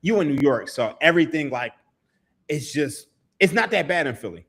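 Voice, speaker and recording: An animated man, chatting as a podcast guest. His pitch swings widely as he tells stories, and he laughs and swears easily. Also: normal speed, husky. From Philadelphia, USA. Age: 30s. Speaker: podcast guest, sports writer. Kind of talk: casual podcast chat.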